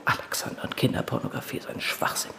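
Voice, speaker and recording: An actress playing a guarded, weary widowed defence lawyer in a radio drama, answering in a terse, defiant way. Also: female voice, quick pace, bright, forceful tone, in a whisper, natural voice.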